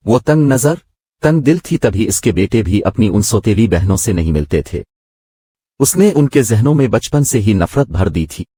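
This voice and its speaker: A man's voice: on the low side, darkish tone, breathy edge, no room sound, clean studio sound. A man reading a scripted moral story as its narrator.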